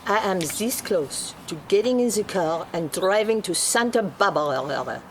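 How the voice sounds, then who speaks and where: A woman's voice: medium pitch, animated, a little slow, rough recording. A woman playing a haughty, disdainful French male dressmaker with a cutting manner in a screenplay table read. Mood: exasperated. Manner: cutting, snooty. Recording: clear background noise.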